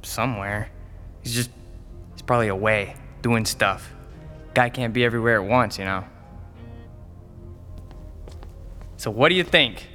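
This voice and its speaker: A glib boyfriend in his twenties in a radio drama, forceful and bright in tone. He is eager and quick to defend himself with a joke, with faint music behind him. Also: on the low side. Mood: impressed, breezy. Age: 20s. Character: eager, defensive, wisecracking young would-be comedian boyfriend. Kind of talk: naturalistic audio drama dialogue.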